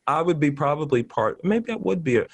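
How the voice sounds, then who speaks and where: A middle-aged man chatting casually as an interview guest, talking in an animated, effusive and rambling way. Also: a little high, fairly quick, dry recording. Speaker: interview guest, artist and teacher.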